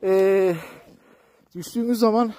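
A man with an extremely high voice presents a casual vlog while active outdoors. He is colloquial, jokey and prone to exclaiming. A faint noise of the open air sits behind his words. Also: fairly even intonation, measured pace, warm tone. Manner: active, talking while snowboarding. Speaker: vlogger presenting a ski slope. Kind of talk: casual vlog commentary to viewers and companions. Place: outdoors on a steep ski slope.

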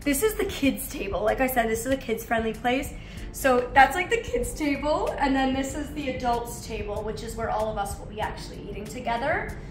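A woman's voice: a little high, lively intonation, fairly quick. A woman in her thirties chatting enthusiastically as she walks through a house on a vlog tour, recorded on a handheld camera. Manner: enthusiastic, chatty, walking around. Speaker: vlogger giving a house tour. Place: large bright house interior, tiled floors. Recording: echoey room with music under. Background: background music.

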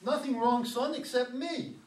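A man's voice: extremely high pitch, unhurried, a little mellow. An older man playing a wary, regretful grandfather in a staged, dramatic script reading. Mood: eager, regretful. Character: wary, regretful father and grandfather, a lonely driver. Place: meeting room with long table, indoors. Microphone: far camera. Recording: roomy, distant mic, background room noise.

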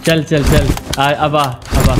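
A man's voice: a little high, little pitch movement, takes it slowly, very noisy. A man in his twenties with a Pakistani accent, chatting casually as a gaming YouTuber making prank calls. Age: 20s. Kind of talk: casual chat and prank calling. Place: indoor gaming room.

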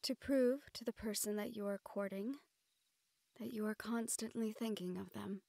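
A woman playing a gentle, playfully reproving fantasy sweetheart in a romantic audio roleplay, speaking tenderly in formal phrases. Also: medium pitch, moderate pace, creaky, no room sound. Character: gentle, playfully scolding fantasy woman courting a dragon general.

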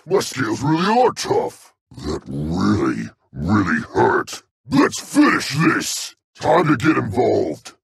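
A man voicing a brutish, hot-tempered dragon warrior for a video game, with a gravelly, raspy growl and a blustering, boastful manner. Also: lowish voice, wildly swooping pitch, slow pace, few pauses, no room sound, exaggerated voice. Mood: boastful, fired up. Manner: blustering, swinging from growls to bellows. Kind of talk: video game character barks.

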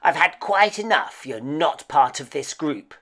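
A woman's voice: low-pitched, animated, unhurried, heavily acted. A woman voicing a wicked, short-tempered old witch who snaps and scolds in a storybook reading. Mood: threatening. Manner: snappish, scolding, screeching.

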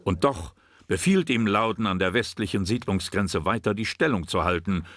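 A man narrating a history documentary drama in a measured, serious way. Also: lowish voice, expressive. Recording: narration over loud music.

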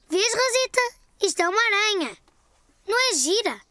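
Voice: very high-pitched, bright, forceful tone, no echo, heavily acted. An actor playing a cheerful, eager four-year-old boy in a children's cartoon, with a European Portuguese accent.

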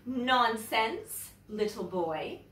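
Woman playing an exasperated, slow-talking storybook mother. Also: on the high side, expressive, fairly bright tone, roomy sound, distant mic, exaggerated voice. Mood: dismissive. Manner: emphatic, chiding.

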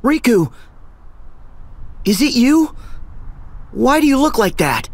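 A plucky teenage boy hero from a video game. He is boyish and earnest, with a husky edge, lively pitch and slow, deliberate pacing. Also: full character voice. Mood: anxious, pleading. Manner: earnest, questioning. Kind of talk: video game cutscene acting.

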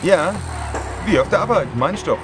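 Let's player, male, slightly stuffy, joking to viewers over loud music. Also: on the high side, lively intonation, moderate pace. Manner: chatty, joking asides to viewers. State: a bit stuffy from a cold. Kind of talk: casual gameplay commentary. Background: loud game music.

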